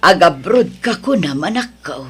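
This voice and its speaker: A woman playing a kindly, devout elderly mother in an Ilocano audio drama, gentle and motherly, with an Ilocano accent. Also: on the low side, animated, normal speed, slightly rough, heavily acted. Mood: consoling. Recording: music under the voice.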